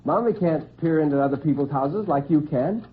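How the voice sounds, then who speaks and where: A man's voice: a little high, a little fast, narrow, tinny audio, voice pushed up, natural voice. A man voicing a bossy, coolly reasoning mother in an old-time radio story. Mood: cool, reasonable. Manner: dictatorial, reasonable. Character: sharp, scolding mother reasoning coolly with her child.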